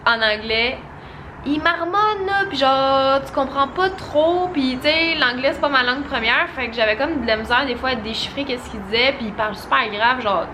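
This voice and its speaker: A young woman with a Québécois French accent, chatting casually to camera as a vlogger and giving a relaxed film review. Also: high voice, moderate pace, noisy background. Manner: chatty and animated, full of casual fillers.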